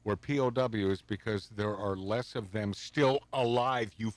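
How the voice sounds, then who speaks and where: A man's voice: on the low side, measured pace, no room sound. A man hosting a talk radio show, casual and combative, mocking and swearing as he reacts to clips. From Pacific Northwest of the US. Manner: animated, combative, swears and mocks.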